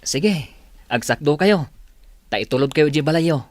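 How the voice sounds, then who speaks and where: A man's voice: on the high side, lively intonation, unhurried, no echo. Playful young village man, close dry sound. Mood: playful, coaxing. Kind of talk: radio drama acting.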